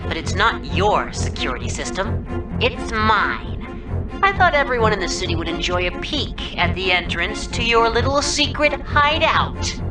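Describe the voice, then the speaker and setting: A woman's voice: mid-range voice, big pitch swings, normal speed, natural voice. Distant, smug cartoon villain, played by a woman. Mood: smug, taunting. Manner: smug, mocking. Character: taunting prankster villain hijacking a broadcast. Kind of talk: educational game cartoon acting. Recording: distant voice under loud music.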